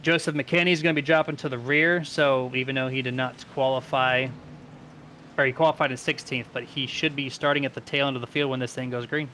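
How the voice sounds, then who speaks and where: A man's voice: medium pitch, steady tone, brisk, sharp tone, slight background noise. A man doing live play-by-play commentary on a sim race in a casual, conversational manner.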